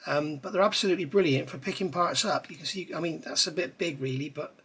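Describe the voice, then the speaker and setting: A husky, bright-voiced model builder. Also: male voice, on the high side, quick pace. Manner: relaxed, rambling, talks while working with his hands. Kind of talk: hands-on tutorial with chatty asides. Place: indoor hobby workbench. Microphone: overhead camera on workbench.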